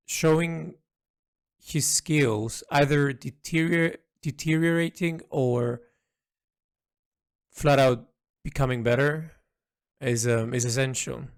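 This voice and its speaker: A man narrates a personal artist's log in a reflective way. He speaks slowly, pausing and feeling his way through each thought with halting phrasing. The recording is dry, with hardly any room around the voice. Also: medium pitch, no echo. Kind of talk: reflective spoken audio log.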